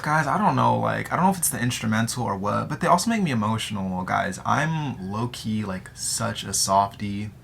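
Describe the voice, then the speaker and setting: Bright, creaky young American man. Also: lowish voice, animated, moderate pace, few pauses, soft background music. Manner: playful, joking, slangy. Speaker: vlogger answering audience questions. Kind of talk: casual chat to camera.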